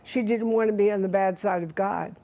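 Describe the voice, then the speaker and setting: A woman's voice: medium pitch, fairly even intonation, brisk, mellow. A woman, a nun, reflecting earnestly on why she chose her order. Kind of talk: oral history interview.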